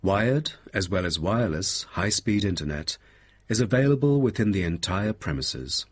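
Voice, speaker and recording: A man reading a scripted guided presentation as a helpful guide with a husky voice. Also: low-pitched, lively intonation, moderate pace. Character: helpful male guide presenting a park's facilities. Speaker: voice actor reading listening test parts. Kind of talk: scripted narration.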